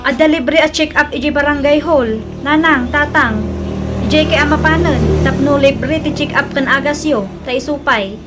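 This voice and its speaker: A woman acts out a helpful Ilocano daughter in a radio drama. Her voice sits high, with music playing clearly behind her. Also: normal speed, close to an everyday voice. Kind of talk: comedic radio drama acting.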